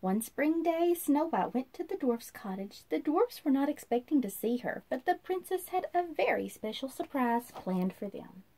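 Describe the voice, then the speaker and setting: A woman in her thirties reading a children's storybook aloud in an expressive, sing-song manner, as if to young listeners. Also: sits high, normal speed, hardly any pauses. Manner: sing-song, animated, asks listeners questions. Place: small indoor room.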